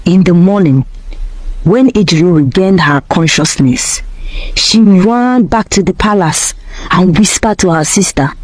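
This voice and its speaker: A woman's voice: fairly low pitch, a little slow, a little mellow, no room sound, rough recording. A woman narrating a scripted folktale as a storyteller.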